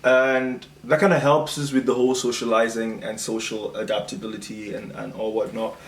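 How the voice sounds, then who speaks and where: A man's voice: on the low side, little pitch movement, normal speed. A young man from Cameroon chatting casually and explaining his views in an informal way, as the host of a video discussion. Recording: echoey room with some background noise.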